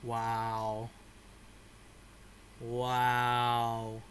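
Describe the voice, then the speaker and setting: A man's voice: medium pitch, monotone, extremely slow, fairly bright tone, slight background noise. A man giving casual reaction commentary as he plays a video game.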